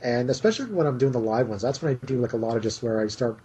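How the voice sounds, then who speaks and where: Laid-back man with a dark, hazy voice. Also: medium pitch, animated, fast, breathy edge. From Canada. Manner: rambling, laughs often, swears casually. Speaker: guest YouTuber. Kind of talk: casual Skype chat. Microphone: computer mic on a voice call.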